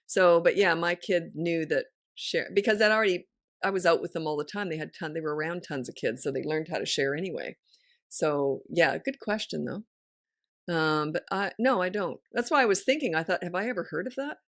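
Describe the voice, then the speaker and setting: A woman's voice: on the low side, dry recording, studio quality. A middle-aged woman, a parenting coach hosting a podcast, giving frank advice in a blunt, no-nonsense way. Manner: blunt, no-nonsense, laughs often. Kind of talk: casual advice chat.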